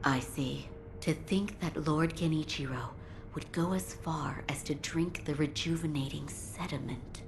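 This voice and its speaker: A composed lady physician from a video game drama. She speaks in a low, slow voice with gentle formality and careful phrasing, over clearly noisy ambience. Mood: calm, grave. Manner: measured, formal, soft-spoken. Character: composed, gentle young female castle doctor. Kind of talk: dramatic game cutscene dialogue.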